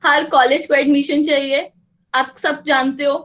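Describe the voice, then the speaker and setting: A woman's voice: high-pitched, little pitch movement, rapid-fire, fairly bright tone. A woman with an Indian English accent teaching a live online class over a video call, reassuring students and switching between English and Hindi. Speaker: exam prep teacher.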